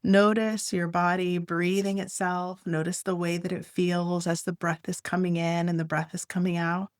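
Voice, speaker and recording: A woman leading a guided meditation, speaking gently in short, unhurried phrases with long pauses. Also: lowish voice, flat delivery, no room sound, studio quality.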